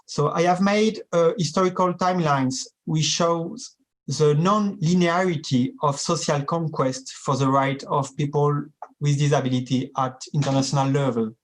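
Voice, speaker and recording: A man presenting a seminar paper in careful, deliberate, non-native English. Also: fairly high pitch, fairly even intonation. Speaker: academic presenter. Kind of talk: reading a conference paper.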